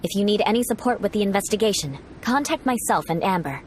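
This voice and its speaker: A woman's voice: mid-range voice, expressive, fast talker, a little hiss or hum, close to an everyday voice. A woman voicing a haughty, forthright young knight in a video game, formal, imperious and sternly suspicious. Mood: grudgingly trusting. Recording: faint music behind the voice.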